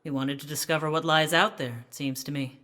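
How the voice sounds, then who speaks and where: Bright, forceful matter-of-fact questioner. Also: male voice, on the high side, fairly even intonation, a little fast. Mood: measured. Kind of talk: theatrical radio drama dialogue.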